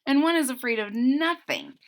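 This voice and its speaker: A woman's voice: high voice, lively intonation, moderate pace, pitched above the performer's own voice. A woman voicing an exasperated, motherly mother cat in a children's storybook. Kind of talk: children's storybook read-aloud.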